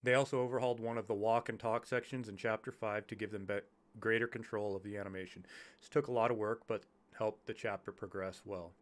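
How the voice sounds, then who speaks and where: A man's voice: on the low side, fairly even intonation. A sick, congested man with a nasal voice reads a technical development report aloud, stumbling and restarting over jargon as he goes. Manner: halting reading with stumbles over technical terms. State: congested from illness. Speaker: YouTuber reading game development notes.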